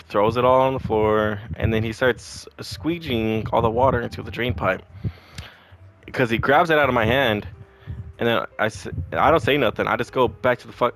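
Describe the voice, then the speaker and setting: Gravelly young man venting profanely. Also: mid-range voice, a little hiss or hum. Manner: profane, rambling, animated retelling. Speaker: vlogger. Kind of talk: casual venting storytime.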